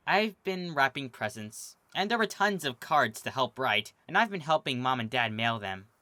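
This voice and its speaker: A fan reader voicing a young female unicorn filly who is flustered and defensive, speaking high and stammering. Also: bright, forceful tone, no echo.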